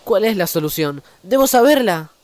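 A woman's voice: mid-range voice, lively intonation, moderate pace, a little mellow, a little hiss or hum, close to an everyday voice. A woman playing an earnest, impatient young boy hero in a radio drama. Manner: urgent, insistent.

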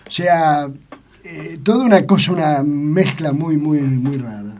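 An older man with an Argentine Spanish accent whose first language was Italian, telling his family story in a radio interview with many pauses and repeated words. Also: fairly high pitch, a little mellow, a little hiss or hum. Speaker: interview guest.

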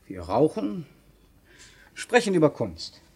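A man's voice: a little high, wildly swooping pitch, slow, gravelly, a little mellow, breathy edge, full character voice. An actor playing a sullen, evasive young man cowed by his father in a classic German radio drama, answering tersely. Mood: sullen, guarded. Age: young adult.

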